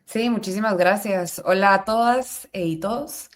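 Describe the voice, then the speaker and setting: A woman's voice: mid-range voice, moderate pace. A woman from Guatemala, a guest explaining her career steadily in an interview. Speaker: guest, venture capital entrepreneur. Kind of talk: casual interview talk.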